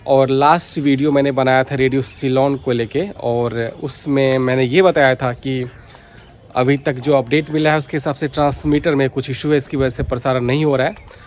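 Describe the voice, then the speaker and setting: A man's voice: mid-range voice, steady tone, moderate pace. A man giving informal, explanatory vlog commentary, going back over his points as he speaks. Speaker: radio hobby vlogger. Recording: clearly noisy background.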